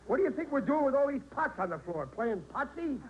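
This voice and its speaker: An exasperated working-class family man in an old radio comedy. His voice is high and jumps around as he blusters and bosses everyone. The sound is a dull, slightly noisy 1940s broadcast recording. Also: very animated, fairly quick. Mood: indignant, blustering. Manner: loud, bossy, complaining. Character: blustering, exasperated working-class husband and father, middle-aged. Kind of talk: 1940s radio sitcom acting.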